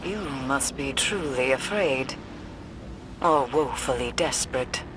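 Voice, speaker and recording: Solemn female occult expert for a video game: grave, deliberate, low-key menace-free formality, unhurried. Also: expressive, noisy background, exaggerated voice. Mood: dry, disdainful. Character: stern, solemn mystic woman, cryptic occult expert.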